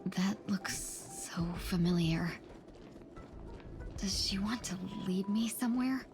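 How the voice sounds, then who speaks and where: An actress playing a gentle, polite and bewildered young woman in a fantasy video game, speaking softly and hesitantly. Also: mid-range voice, takes it slowly, over music, natural voice. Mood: lost, wondering. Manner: soft, hesitant, courteous.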